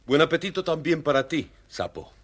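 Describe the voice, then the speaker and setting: A man's voice: fairly high pitch, very animated, moderate pace, fairly bright tone. A man playing a dignified, principled fatherly king in a children's audio storybook, formal and authoritative. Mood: courteous.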